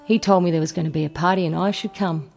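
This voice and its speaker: A streetwise young Australian runaway. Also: female voice, lowish voice, fairly even intonation, fast, creaky, a little mellow. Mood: dreamy recollection. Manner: colloquial, plain-spoken storytelling. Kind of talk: radio play acting. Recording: music under the voice.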